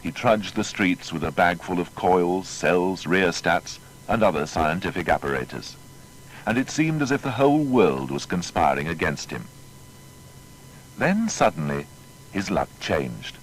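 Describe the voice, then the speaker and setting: A man's voice: very animated, a little slow, rough recording. A man narrating a scripted biographical documentary in a formal, dramatic storytelling manner. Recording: faint noise and low rumble.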